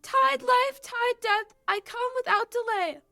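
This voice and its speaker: Lovestruck young maiden in stage-verse radio comedy; squeaky-high, bright, overdone declamation. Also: female voice, a little slow, vocal fry, heavily acted. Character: Thisbe, gushing young maiden in a clownish play-within-play. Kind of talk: 1940s radio play acting of Shakespeare verse.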